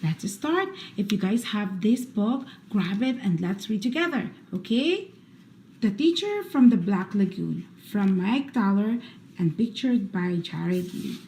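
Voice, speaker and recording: A woman hosting a read-aloud for children, reading picture books in an expressive, deliberate bedtime storytelling style. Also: medium pitch. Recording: roomy echo, faint background noise.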